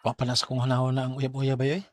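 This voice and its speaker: A man's voice: mid-range voice, monotone, fast talker, lightly acted. A man playing an earnest, hesitant student who is smitten and dreams of law, acted in Bisaya radio drama style. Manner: hesitant, stammering. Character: earnest male student, studious, smitten aspiring lawyer. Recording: music under the dialogue.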